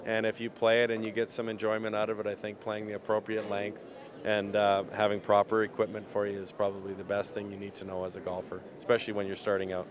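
A middle-aged man is being interviewed on a handheld mic. He speaks off the cuff in a fairly low voice. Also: completely flat delivery, fast talker, crowd noise. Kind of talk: spontaneous interview answer. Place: indoor trade show hall. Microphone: handheld reporter mic.

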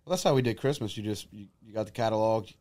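A man's voice: on the low side, fast, a little mellow. A man in his thirties casually reminiscing as a podcast co-host. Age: 30s.

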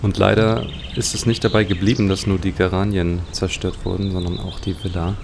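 A man's voice: sits low, level tone, a little fast. A man commenting casually on a video game, musing aloud as he describes what he sees. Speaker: let's play host. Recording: clearly noisy home recording.

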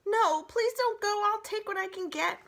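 A woman's voice: very high voice, normal speed, a bit bright, pitched above the performer's own voice. A woman voicing a vain, hungry, sly male fox, whiny and demanding, in a children's storybook. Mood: pained, pleading. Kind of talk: children's book read-aloud.

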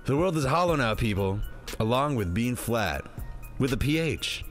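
A man's voice: medium pitch, lively intonation, normal speed. A man hosting a comedic, part-scripted movie review in a slangy, sweary, wisecracking way. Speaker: review show host. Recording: music under the voice.

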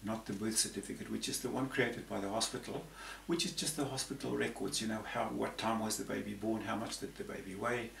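Gravelly older man explaining, roomy distant sound. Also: lowish voice, steady tone, fairly quick, hardly any pauses, a bit bright. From Zambia. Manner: rambling, repeats words. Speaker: panel guest. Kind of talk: conversational explanation. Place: room with patterned tapestry backdrop. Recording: roomy, distant, faint hiss.

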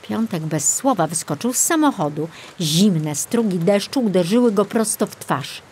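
A woman reading a children's audiobook as narrator, in an expressive storytelling manner. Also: medium pitch, normal speed, slight background noise.

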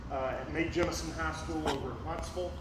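A man's voice: a little high, steady tone, fast. Big-voiced man lecturing in echoey hall. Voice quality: big, carrying voice. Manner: folksy, rambling, lots of ums and restarts. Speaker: high school history teacher giving a public lecture. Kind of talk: casual public lecture. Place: large echoey auditorium with a screen. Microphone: distant room mic, speaker away from it. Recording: echoey hall from far off, room noise.

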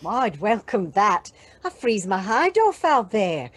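A woman's voice: medium pitch, big pitch swings, takes it slowly, fairly bright tone, exaggerated voice. A woman playing a cheerful, unrefined young British ambulance driver in an audio drama, cheeky and frank.

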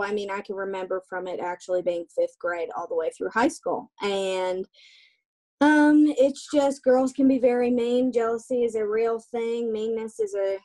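A young woman speaks in a casual, chatty way, leaning on fillers as she answers. Her voice sits at a middle pitch, and she is heard through a webcam in a small room indoors. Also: low-quality recording. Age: young adult. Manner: relaxed, chatty, many fillers like "like" and "um". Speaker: guest, singer. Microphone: webcam on a video call.

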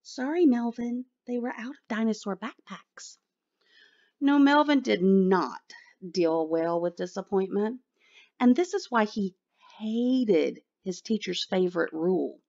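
A woman's voice: mid-range voice, unhurried, a little mellow, highs cut off. A woman reading a picture book aloud expressively for children. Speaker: children's story reader.

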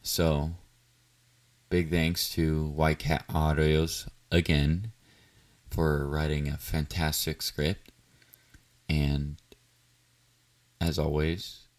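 Very deep-voiced man, soft and slow. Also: little pitch movement, dry recording. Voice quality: naturally low voice. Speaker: audio roleplay voice actor. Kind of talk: soft-spoken outro to the listener.

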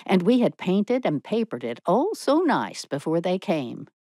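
Woman doing a bitter gossipy old maid in an audiobook, lowish voice that swoops all over. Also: sing-song, a little slow, warm tone, no room sound, studio quality. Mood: indignant gossip. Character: opinionated gossiping spinster, sharp-tongued.

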